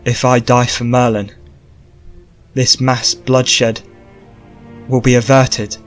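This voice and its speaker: Male reader voicing a solemn young king, slow, measured, mid-pitched. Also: level tone, faint music behind, close to an everyday voice.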